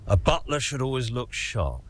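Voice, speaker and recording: An actor playing a smooth, flattering middle-aged father who funds a racing team in a video game, persuasive and self-assured. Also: mid-range voice, normal speed. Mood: breezy. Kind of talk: video game cutscene acting.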